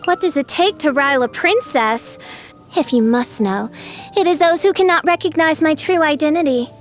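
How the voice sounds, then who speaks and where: A woman's voice: high-pitched, normal speed, soft background music, natural voice. A woman voicing a grandiose, theatrical teenage girl who styles herself a princess, speaking in pompous archaic phrases as a video game character. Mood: lofty, brooding. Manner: pompous, archaic, dramatic royal speech.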